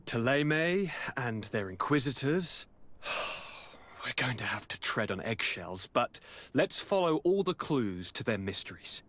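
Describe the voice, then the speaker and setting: Theatrical young noble, swooping mid-range voice, affectionate, flamboyant, game governor. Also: male voice, mid-range voice, measured pace. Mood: pensive, troubled. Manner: theatrical, lively, swinging to whispers. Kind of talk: video game cutscene acting.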